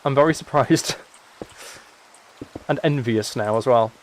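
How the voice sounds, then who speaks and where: A young British man chatting casually as he streams a game, rambling and thinking out loud as he goes. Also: mid-range voice, a little slow, slight background noise, poor audio. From United Kingdom. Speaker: live streamer.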